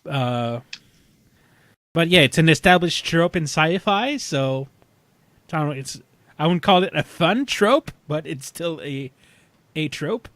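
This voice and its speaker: A man with a voice a little on the high side chats casually on a podcast. He works out his thoughts as he goes, stopping and starting. The sound is dry, with no room on it. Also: lively intonation, fairly bright tone, no room sound. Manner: halting, thinking aloud. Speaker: podcast panelist. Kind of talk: casual chat reviewing sci-fi television.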